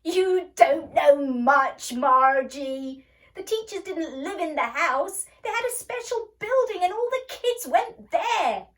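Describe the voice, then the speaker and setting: A woman's voice: very high voice, animated, slow, far from the mic, pitched above the performer's own voice, full character voice. A woman voicing a superior, scornful young boy in a storybook, with an emphatic, condescending manner. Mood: scornful, loud.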